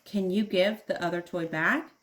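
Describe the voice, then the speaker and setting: A woman voicing a gentle, patient father kangaroo in a children's storybook, with an encouraging manner. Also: lowish voice, fairly even intonation, normal speed, close to an everyday voice. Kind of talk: children's storybook read-aloud.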